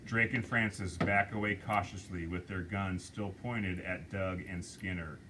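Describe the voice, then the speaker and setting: A man reading the stage directions aloud at a script table read, measured and deliberate. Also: sits low, steady tone, background noise.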